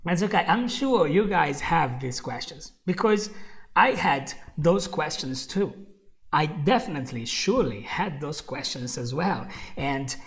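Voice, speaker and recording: A man's voice: fairly high pitch, lively intonation, unhurried, creaky, fairly bright tone. A young man with an Italian accent, a native Italian speaker, teaching a live online English lesson in an encouraging way, repeating phrases and speaking straight to his viewers.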